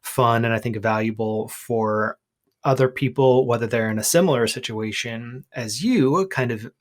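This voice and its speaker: A man's voice: medium pitch, moderate pace. A man hosting a podcast and coaching in a casual, conversational way.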